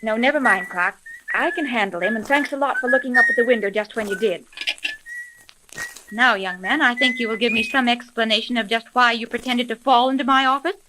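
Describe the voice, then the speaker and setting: An actress playing a brisk, no-nonsense woman who runs a detective agency, in 1930s radio drama style. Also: on the high side, natural voice. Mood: cool, stern. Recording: noisy, clicking vintage radio recording.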